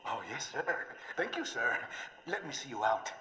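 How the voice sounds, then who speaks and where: A man's voice: medium pitch, sing-song, moderate pace, sharp tone, slight background noise. A man playing an obsequious, theatrical villainous scientist in a video game. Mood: fawning. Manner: fawning, theatrical. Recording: echoey, reverberant room.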